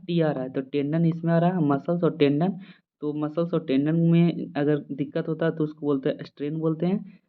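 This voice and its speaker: A narrow, phone-like recording of a man with a mid-pitched voice. He lectures informally like a classroom teacher, mixing in English terms. He repeats key points and keeps checking that listeners follow. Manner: repetitive, checks understanding with short tag questions. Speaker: physiotherapy teacher. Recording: muffled narrow-band phone-like sound.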